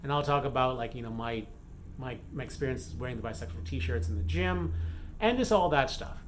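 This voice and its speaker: A man from the Bahamas talks through a casual vlog update in a loose, rambling way. He fills pauses with ums and uhs and often restarts his sentences. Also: medium pitch, expressive, a little hiss or hum. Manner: rambling, frequent fillers and self-corrections. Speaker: romance author and vlogger.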